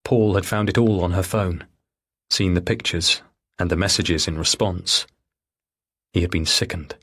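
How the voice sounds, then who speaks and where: A male audiobook narrator reads scripted thriller fiction. His voice sits on the low side and has a husky edge. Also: unhurried, fairly bright tone.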